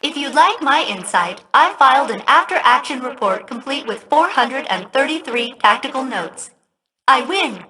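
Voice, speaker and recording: A woman's voice: high voice, lively intonation, normal speed, sharp tone, low-quality recording. A woman playing a cheerfully smug, literal-minded ship computer AI in a video game, speaking with precision. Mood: chipper, precise.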